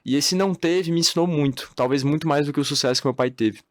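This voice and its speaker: A man's voice: on the high side, fast, no echo. A young man from the Northeast of Brazil, chatting as a podcast guest about his own life. He talks in a loose storytelling way and laughs easily. The sound is dry and close, with no room around him. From Natal, Northeast Brazil. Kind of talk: casual podcast conversation.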